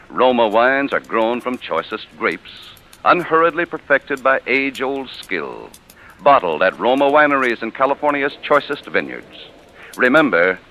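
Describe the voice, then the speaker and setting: Bright, lively vintage radio pitchman. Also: poor audio. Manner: polished, emphatic pitchman. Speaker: sponsor announcer. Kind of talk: 1940s radio commercial. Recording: 1940s radio broadcast.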